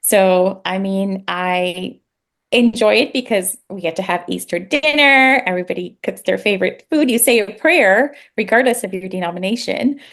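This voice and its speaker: A woman's voice: mid-range voice, moderate pace, fairly bright tone. A woman from Guyana chatting reflectively, rambling a little with fillers. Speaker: guest. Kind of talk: casual chat.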